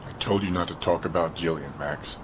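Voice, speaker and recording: Actor as a very deep-voiced bored enforcer. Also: male voice, a little fast, background noise, natural voice. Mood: gruff. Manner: terse, curt. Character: rugged, bored, brooding male enforcer for a crime boss. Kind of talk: audio drama acting. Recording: music behind the voice.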